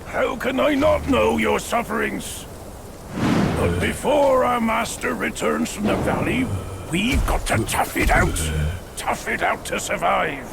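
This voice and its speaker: An actor playing a gruff male demon father who growls commands at his kin in a game cutscene. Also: extremely high pitch, measured pace, exaggerated voice. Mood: grim. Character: gruff male yaoguai father, bitter and bossy. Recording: loud background noise.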